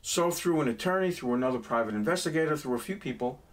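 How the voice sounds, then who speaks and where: A man's voice: mid-range voice, lively intonation, fast talker, rough recording. A middle-aged man from New York with a New York accent, chatting casually as a guest and telling stories with jokes. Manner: storytelling with jokes. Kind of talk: casual chat.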